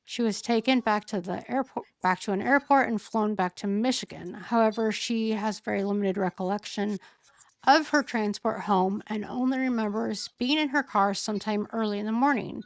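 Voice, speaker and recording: Woman, hoarse, gravelly, dry close sound, reads legal text, halting asides. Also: mid-range voice, no room sound. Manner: halting, thinks aloud between readings. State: hoarse, losing her voice. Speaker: true-crime commentator. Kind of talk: reading a court filing aloud with asides.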